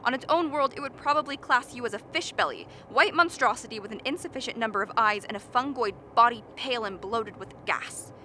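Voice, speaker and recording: A woman's voice: on the high side, fairly quick, hardly any pauses, bright, forceful tone, slight background noise. A woman playing an earnest, impatient biologist in a radio drama, lecturing and argumentative. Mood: indignant. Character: earnest, impatient expedition biologist defending a frozen creature. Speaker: actor in a radio play.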